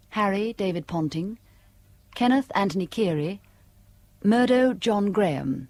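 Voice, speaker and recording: A female announcer reading out radio play credits in a measured, precise manner. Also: mid-range voice, darkish tone, dry recording. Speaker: continuity announcer. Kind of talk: formal broadcast announcing.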